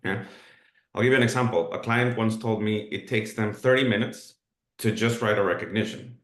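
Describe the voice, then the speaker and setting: A man's voice: fairly low pitch, normal speed, a bit bright, roomy sound. A man whose first language is Spanish, presenting a live webinar in an earnest, explanatory way. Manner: earnest, explanatory, with filler restarts.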